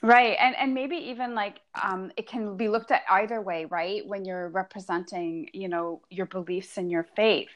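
A woman interviewing a guest, often affirming her and asking questions in a halting way with restarts. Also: mid-range voice, steady tone. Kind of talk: casual interview.

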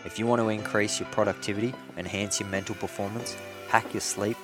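A man hosting a podcast, asking questions in a relaxed, hesitant way with many fillers. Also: fairly low pitch, brisk, music in the background. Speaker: podcast host. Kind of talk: casual podcast interview.

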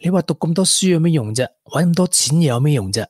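An actor playing a regretful former tech prodigy in a radio drama, with a Hong Kong accent and earnest delivery. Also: male voice, fairly high pitch, animated, quick pace, darkish tone, slightly rough, no echo, studio quality. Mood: bitter regret. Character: regretful young ex-tech prodigy, confessing to a woman. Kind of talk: Cantonese radio drama acting.

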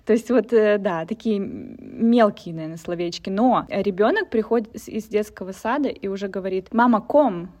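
A woman's voice: mid-range voice, normal speed, few pauses. Chatty young woman co-hosting a podcast. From Russian-speaking, living in a German-speaking country. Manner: chatty, animated when telling anecdotes. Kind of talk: casual conversation.

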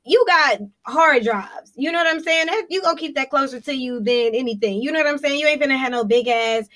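Young woman, fairly high voice, loud and laughy, slangy casual chat. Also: a little fast. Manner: animated, laughing, slangy, often says "you know what I'm saying". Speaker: podcast co-host.

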